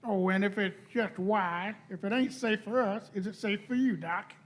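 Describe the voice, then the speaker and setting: A man playing a cheeky, rough and ready young space pilot in a live radio play, breezy and colloquial. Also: sits high, normal speed, slight background noise, lightly acted. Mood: eager, questioning. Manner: breezy, colloquial, ain't-laden speech.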